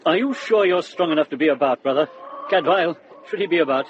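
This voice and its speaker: Actor as lighter-voiced, good-natured grumbling monk. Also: male voice, fairly high pitch, animated, quick pace, close to an everyday voice. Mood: bossy, good-natured. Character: good-natured, mock-grumbling monk who tends sheep. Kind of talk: radio drama acting. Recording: dull, noisy radio drama recording.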